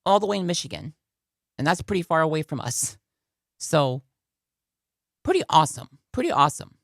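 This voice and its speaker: A woman's voice: low-pitched, big pitch swings, moderate pace, creaky, no room sound, clean studio sound. A woman hosting a casual product review in a conversational way, often repeating phrases for emphasis. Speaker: video host and product reviewer.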